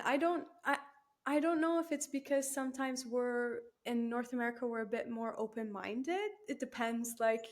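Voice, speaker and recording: A young woman, chatting as a guest in an interview, laughing easily and using lots of fillers. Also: a little high. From Canada. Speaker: guest, new team member. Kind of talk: casual interview chat.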